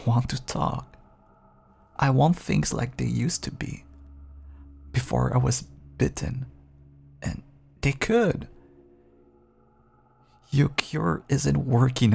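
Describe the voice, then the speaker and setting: A man playing a caged, infected vampire who pleads with his partner in an intimate audio roleplay, husky and coaxing with a sardonic, bitter edge. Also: mid-range voice, darkish tone, heavily acted. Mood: wistful pleading. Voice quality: husky, gravelly, breathy at times. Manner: slow, coaxing, with sardonic edges.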